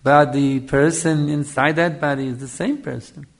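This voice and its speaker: A man's voice: fairly high pitch, steady tone, moderate pace, vocal fry. A man giving a spiritual lecture in a didactic way, repeating phrases for emphasis and checking in with his listeners. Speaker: spiritual teacher giving a discourse. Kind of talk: Hare Krishna style lecture on scripture.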